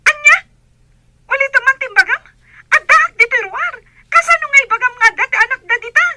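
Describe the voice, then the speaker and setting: A woman's voice: extremely high pitch, expressive, a little fast, bright, forceful tone, no room sound, exaggerated voice. A woman playing a snappish, bossy young daughter in a comedy radio drama. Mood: scornful, impatient.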